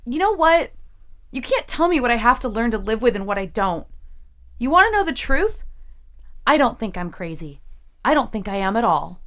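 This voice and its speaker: A woman's voice: fairly high pitch, sing-song, normal speed, pauses often. A young woman acting in an audio drama as a troubled, exhausted student who is guarded and curt. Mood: defiant.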